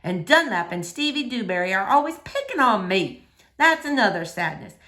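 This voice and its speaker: A woman's voice: mid-range voice, very animated, moderate pace, sharp tone. A woman voicing a curious, earnest young girl with a plain-spoken, questioning manner and some sadness underneath, as in a children's storybook. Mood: earnest, sad.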